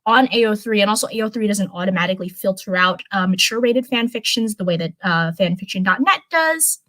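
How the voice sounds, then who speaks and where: A woman's voice: medium pitch, fairly quick, a bit bright. A woman with a mid-range voice hosts a live reading stream. She is informal and chatty, speaking casually as if straight to her viewers. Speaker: host of a live stream reading fan fiction. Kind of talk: casual livestream chat and live story reading.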